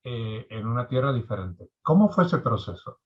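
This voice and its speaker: A man hosting a conversational interview podcast over an online call, speaking courteously with deliberate pauses. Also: medium pitch, very animated, muffled recording. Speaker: podcast host. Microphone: remote online call.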